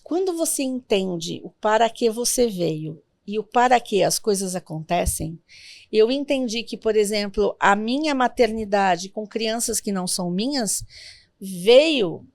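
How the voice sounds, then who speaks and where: A Brazilian woman in her fifties, a guest in an interview, telling family stories in a reflective, gentle way. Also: mid-range voice, expressive, a little slow, no echo. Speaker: guest, sworn translator. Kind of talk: interview conversation.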